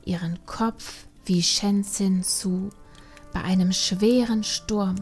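A woman's voice: medium pitch, steady tone, slow pace, husky, faint music behind. A woman voicing a defiant, adventurous young panda girl in a fantasy audiobook, sulky and protesting. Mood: indignant. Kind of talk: acted fantasy audiobook dialogue.

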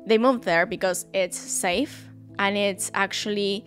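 A woman's voice: medium pitch, a little slow, a bit bright, soft background music. A young woman from Argentina, a native Spanish speaker with an Argentine accent, telling a true crime story at home into a studio microphone with chatty asides to viewers. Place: home room, indoors. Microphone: studio mic on stand.

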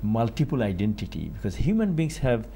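An older man from Bangladesh, speaking as an author on a TV panel in a studio, measured and rising for emphasis. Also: fairly low pitch, big pitch swings, warm tone, slightly rough. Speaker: author guest. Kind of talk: TV panel discussion. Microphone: lapel.

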